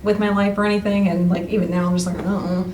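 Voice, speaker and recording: A woman's voice: mid-range voice, little pitch movement, fast, a little mellow. Casual woman in her thirties, roomy recording. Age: 30s. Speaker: radio play cast member being interviewed. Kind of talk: casual chat. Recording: roomy, distant mic with background noise.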